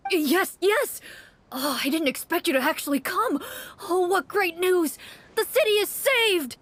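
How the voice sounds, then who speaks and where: A woman playing a game master who narrates and voices an anxious, pleading townsperson in an expressive, earnest way. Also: extremely high pitch, measured pace, full character voice. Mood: astonished, overjoyed. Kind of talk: tabletop story narration and dramatic role-play. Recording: music behind the voice. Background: game music.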